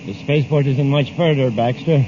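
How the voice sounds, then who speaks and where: An actor playing a bossy, scheming kidnapper who gloats and barks orders in a 1950s radio drama. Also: male voice, mid-range voice, brisk, lightly acted. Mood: smug. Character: bossy, scheming male villain, boastful kidnapper. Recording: dull, noisy old radio recording.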